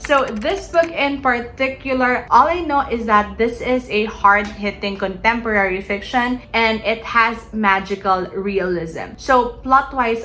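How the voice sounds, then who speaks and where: Young woman from the Philippines, native Tagalog speaker, bright eager booktuber, chatty and casual. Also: fairly high pitch. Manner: chatty, eager, filler-heavy. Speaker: book vlogger. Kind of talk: casual reading-list vlog.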